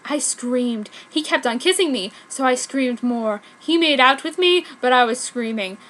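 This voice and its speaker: A woman's voice: high-pitched, animated, unhurried, fairly bright tone. A woman narrating fan fiction in a mock-serious dramatic style, with exaggerated emphasis and stumbles over words.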